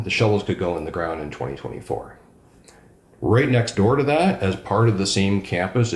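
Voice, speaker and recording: A man's voice: deep voice, reverberant. A man, a regional councillor, answering interview questions with emphatic enthusiasm. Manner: enthusiastic, emphatic, self-described loud voice.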